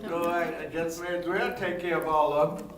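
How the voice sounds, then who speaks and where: A man's voice: a little high, steady tone, moderate pace. An older man hosting a forum, making informal announcements and repeating phrases for emphasis. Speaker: forum host and reverend. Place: large echoing indoor venue, public forum. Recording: echoey room, distant microphone, faint noise.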